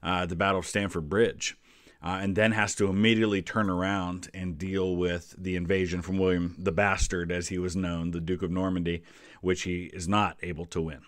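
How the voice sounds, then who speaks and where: Low-voiced American man, casual explainer. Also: flat delivery, moderate pace, dry recording. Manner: conversational, explanatory. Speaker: history vlogger, host. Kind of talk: casual reaction commentary, explaining history.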